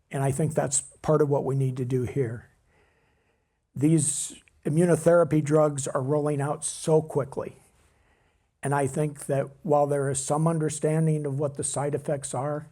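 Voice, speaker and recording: Older man, husky voice, mellow tone, earnest halting speech. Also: on the high side. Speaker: patient speaking at an event. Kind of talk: emotional public speech.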